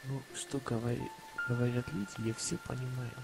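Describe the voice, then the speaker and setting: A man with an Armenian accent talks in a gravelly, creaky voice, hesitating and restarting often. He is on a walkie-talkie chat app, with music playing clearly behind him. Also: medium pitch, steady tone, poor audio. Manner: halting, many fillers and restarts. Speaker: chat participant. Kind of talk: casual religious discussion.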